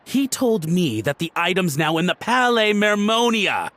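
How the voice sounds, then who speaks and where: A man's voice: high voice, big pitch swings, measured pace, a bit bright, slight background noise, heavily acted. An actor playing a nervous, fawning male antique collector in a video game cutscene, pleading and stammering. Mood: confessional.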